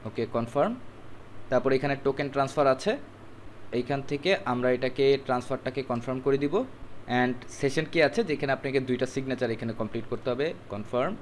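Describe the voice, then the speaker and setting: Fast-talking man giving a step-by-step tutorial. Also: medium pitch, fairly even intonation, a little hiss or hum. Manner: instructive, mixes in English words. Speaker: tutorial presenter. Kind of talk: step-by-step screen tutorial narration.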